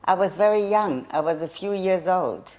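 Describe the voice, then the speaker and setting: A woman's voice: on the low side, animated, normal speed, a little mellow, low-quality recording. An older woman whose first language was German, recalling her childhood in an oral history interview, hesitant and searching for words. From Poland. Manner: hesitant, searching memory with restarts.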